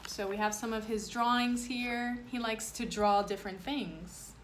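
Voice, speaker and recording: A woman's voice: fairly high pitch, fairly even intonation, a little slow. Woman reading to children, noticeable background noise. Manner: plain, explanatory, child-directed phrasing. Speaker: read-aloud storyteller, teacher addressing children. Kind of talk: reading a picture book aloud with simple explanatory asides.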